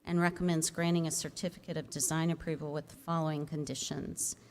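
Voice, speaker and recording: Woman, low pitch, formal report delivery, measured, procedural, public hearing, slight background noise. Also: flat delivery, a little mellow. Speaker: staff planner presenting a case. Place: public commission meeting room. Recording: noisy public meeting room microphone.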